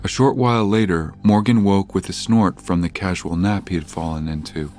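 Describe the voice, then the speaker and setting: Male narrator for audio drama, very low-pitched voice, scripted storytelling over prominent music. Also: normal speed, darkish tone.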